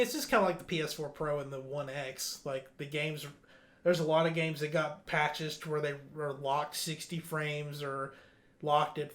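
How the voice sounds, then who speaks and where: A man's voice: on the high side, a bit bright. A man hosting a casual gaming news discussion, talking bluntly and informally, with some loose swearing. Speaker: gaming podcast host.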